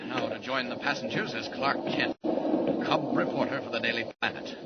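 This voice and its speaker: An actor playing a confident, determined superhero in a 1940s radio drama, thinking aloud in brisk, clipped phrases. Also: male voice, medium pitch, steady tone, lightly acted. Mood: determined, urgent. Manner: clipped phrases, resolute self-talk. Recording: muffled, very noisy 1940 radio recording.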